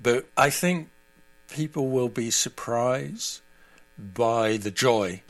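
A man's voice: medium pitch, slow. A man speaking as an interview guest, giving conversational analysis and commentary.

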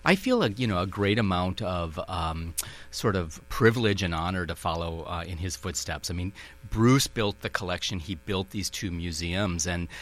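Minnesota guy, low voice, museum director chatting on radio, lots of um and you know. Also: expressive, normal speed. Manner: thoughtful, many fillers and hedges. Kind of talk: radio interview.

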